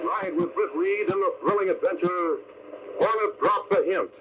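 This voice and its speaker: A man's voice: high-pitched, normal speed. A man declaiming dramatically as announcer of a 1940s-style radio serial. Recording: dull, faintly noisy old radio recording.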